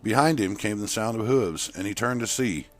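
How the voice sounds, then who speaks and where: Male narrator, low register, scripted short fiction read, soft music bed. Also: normal speed. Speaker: author and narrator. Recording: music behind the voice.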